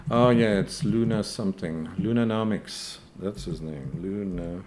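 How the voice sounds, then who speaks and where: A man with a French accent hosts a live stream and answers viewer questions as they come in. His voice sits fairly low, and he speaks slowly and unhurriedly. He hesitates often and keeps checking in with "okay?". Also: a little mellow, a little hiss or hum. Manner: hesitant, frequent "uh" and tag "okay?". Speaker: crypto news host.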